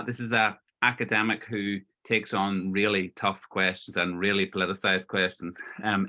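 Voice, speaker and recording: A man hosting an interview, speaking in a halting, conversational way. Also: lowish voice, sharp tone. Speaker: interviewer and event host. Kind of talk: introduction and interview questions.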